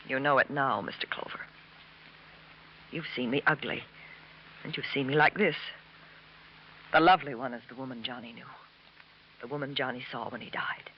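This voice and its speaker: A proud, grieving widow in an old radio drama, vain about her beauty. She speaks dramatically and insistently, on a muffled recording with faint noise. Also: a bass voice. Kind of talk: 1950s radio drama acting.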